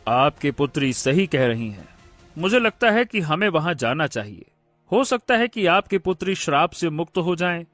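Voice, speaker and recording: A man plays a gallant young prince in a cartoon fable. His voice sits fairly high and is recorded close and dry, with soft music behind. He sounds earnest and courteous. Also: sing-song, normal speed, no echo. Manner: earnest, polite, stammers when startled. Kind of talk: animated fairy tale character voice.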